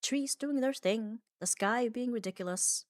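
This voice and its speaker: A woman giving a calm, reassuring ASMR comfort monologue in an unhurried, soothing way. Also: on the high side, no echo, clean studio sound. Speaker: ASMR audio creator.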